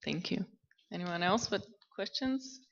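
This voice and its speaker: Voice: medium pitch, a little slow, gravelly, highs cut off. A moderator who leads a panel discussion and asks the speakers questions. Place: conference hall.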